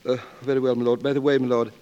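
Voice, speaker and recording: An actor playing a deferential, bewildered valet with an English accent, formal and halting, in a 1940s radio comedy. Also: male voice, medium pitch, little pitch movement, warm tone, muffled recording, lightly acted. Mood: dismayed, deferential. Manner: proper, halting, servile. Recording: noisy old 1940s radio recording.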